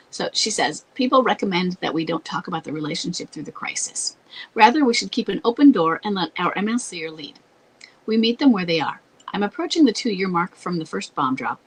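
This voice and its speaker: A woman hosting an advice talk, congested and scratchy as her voice gives out, explaining in a halting way. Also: lowish voice, fairly bright tone. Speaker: advice show host. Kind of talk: advice talk with read-out letters.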